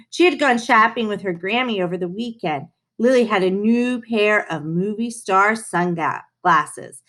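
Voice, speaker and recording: A woman reading a children's storybook aloud in an expressive way, with dramatic pauses and playful touches. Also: mid-range voice. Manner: animated, unhurried, dramatic pauses, playful asides.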